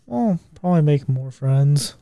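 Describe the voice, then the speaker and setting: A man in his twenties co-hosting a casual podcast chat, animated and swearing, his voice jumping high when he gets worked up. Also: a little slow, vocal fry, no room sound. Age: 20s. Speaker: podcast co-host.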